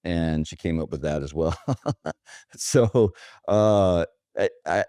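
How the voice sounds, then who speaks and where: A man with a deep, relaxed voice speaks casually as an interview guest. His delivery is plainspoken and anecdotal, and the sound is dry and close. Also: slow, dry recording. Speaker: podcast guest, automation agency owner. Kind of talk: casual conversational interview talk.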